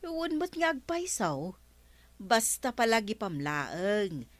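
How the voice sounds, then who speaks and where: A woman's voice: medium pitch, big pitch swings, slow, no echo. A woman acting a nosy, gossipy village friend in a comedy drama. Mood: inquisitive.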